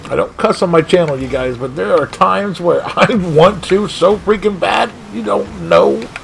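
A man streaming a car soccer game, commentating his own play with excited outbursts and frustration at near misses. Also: high-pitched, moderate pace, vocal fry, slight background noise. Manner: animated, exclaiming, frustrated at missed shots. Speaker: gaming streamer. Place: home gaming room.

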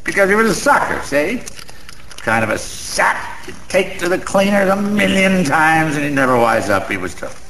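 An actor playing a boozy, sentimental, boastful small-time gambler in a radio drama, rambling in old-fashioned street slang with a gravelly voice. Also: male voice, fairly high pitch, very animated, normal speed, pushed and bright, heavily acted, poor audio. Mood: boastful, fond. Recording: faint background noise.

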